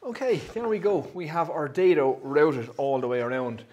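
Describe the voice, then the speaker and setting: A man's voice: fairly high pitch, normal speed, heavy background noise. A man in his thirties hosting a woodworking vlog, chatting casually and thinking aloud as he works in his shed. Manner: chatty, upbeat, thinks aloud while working. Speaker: woodworking channel host. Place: indoor woodworking shed, pegboard walls.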